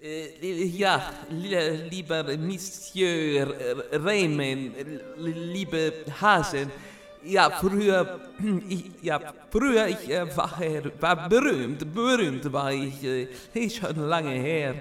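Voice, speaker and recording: A man's voice: fairly high pitch, slow, fairly bright tone, faint music behind. A man voicing a bumbling middle-aged Italian plumber in a comedy sketch, stammering in broken grammar with a mock Italian accent. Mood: flustered.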